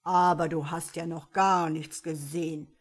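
Woman doing a old male devil, gruff, grumbling, loud, mocking, storybook audiobook. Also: steady tone, measured pace. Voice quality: louder, lower grumbling voice. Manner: scolding, teasing, gruff. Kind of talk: audiobook character voice.

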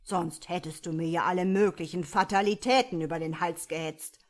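A woman voicing a gruff, grumbling old male devil in an audiobook, scolding and mocking in a loud, low voice. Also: steady tone, moderate pace, close to an everyday voice. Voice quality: louder, lower grumbling voice. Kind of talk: audiobook character voice.

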